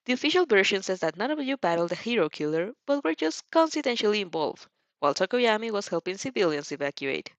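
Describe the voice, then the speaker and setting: A woman narrating a story in an animated way, with occasional slips in her phrasing. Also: mid-range voice, fast talker, dry recording, highs cut off. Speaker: fan fiction reader.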